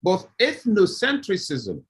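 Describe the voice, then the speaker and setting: A middle-aged man from southeast Nigeria with a Nigerian accent, a professor speaking on a video-call panel, animated and acting out little scenes as he argues. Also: high-pitched, slow, breathy edge.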